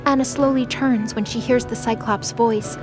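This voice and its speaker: A woman with a highish voice narrates a fantasy story from a script. Music plays clearly behind her words. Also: fairly even intonation, moderate pace.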